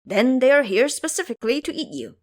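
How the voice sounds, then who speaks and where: A woman's voice: sits high, very animated, fairly quick, voice pushed up. A woman voicing an exasperated male woodcutter in an audio drama, scolding and blunt with emphatic delivery. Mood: grim warning.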